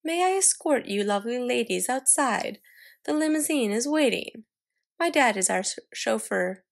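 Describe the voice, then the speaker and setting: A woman voicing an excitable little girl who adores fancy things, in a dramatic storybook reading. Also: a little high, normal speed, vocal fry. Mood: proud, eager. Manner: dramatic, exclaiming, storybook delivery.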